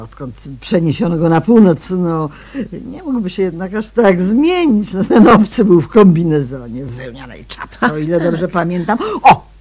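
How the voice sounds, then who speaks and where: A woman's voice: lowish voice, a little mellow, breathy edge. An actress playing a chatty, flustered female neighbour who repeats herself in a radio play. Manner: hesitant, repeats words, gossipy.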